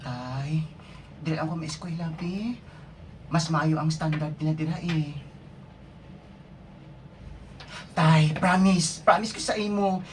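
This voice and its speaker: A woman's voice: low voice, unhurried. A woman playing an eager schoolgirl in an amateur radio drama, recorded at a distance. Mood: pleading. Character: pleading, eager schoolgirl begging her father. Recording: distant mic, faint background noise.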